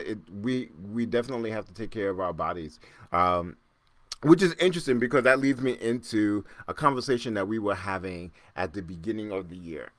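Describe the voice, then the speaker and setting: A man's voice: mid-range voice, a bit bright, no room sound. A man in his fifties hosting a casual solo podcast, rambling and self-mocking with frequent restarts and chuckles. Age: 50s. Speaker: podcast host.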